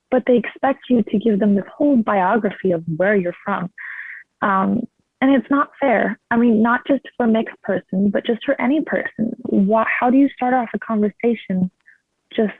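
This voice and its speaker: A woman's voice: medium pitch, dry recording. Woman on thin, phone-like call audio. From Canada. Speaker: meetup participant. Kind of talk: personal sharing in casual talk. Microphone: video call.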